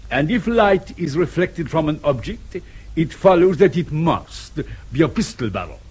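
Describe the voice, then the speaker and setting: Sardonic, husky colonel. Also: male voice, fairly high pitch, very animated, normal speed, darkish tone. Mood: sceptical, sarcastic. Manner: formal, precise, sardonic. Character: suave, sceptical, sarcastic Austrian police colonel interrogator. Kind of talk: British radio drama acting.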